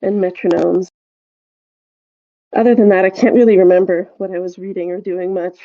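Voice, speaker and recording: A woman co-hosting a podcast in casual chat, telling things in a rambling, halting way. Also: fairly low pitch, fairly even intonation, no echo, highs cut off. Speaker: podcast co-host.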